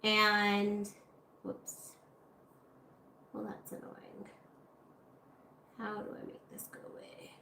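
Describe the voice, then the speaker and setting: A woman's voice: mid-range voice, monotone, slow, long pauses. A woman hosting a casual art livestream, chatting with viewers as she works, her voice a little congested. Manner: chatty, talks to viewers while working. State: slightly congested. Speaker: art channel host. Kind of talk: casual livestream chat while crafting. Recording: roomy with faint room noise.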